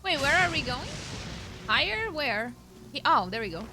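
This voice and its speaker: High-voiced woman reacting to a game. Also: sing-song, normal speed. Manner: reactive, exclaiming, talks to herself. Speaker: streamer playing and reacting to a game. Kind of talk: casual let's-play commentary. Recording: game music behind the voice.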